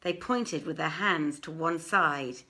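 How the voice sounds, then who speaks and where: A woman narrating an archaic tale as an audiobook reader, in a theatrical, emphatic and deliberate storytelling manner. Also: sits low, pushed and bright.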